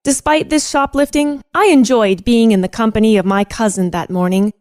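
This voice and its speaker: A woman playing a genteel young lady who narrates a period radio play in a measured, formal literary manner. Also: fairly high pitch, darkish tone, no room sound, studio quality, close to an everyday voice. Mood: measured, fond. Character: genteel young female cousin recounting detective tales. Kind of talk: scripted first-person radio play narration.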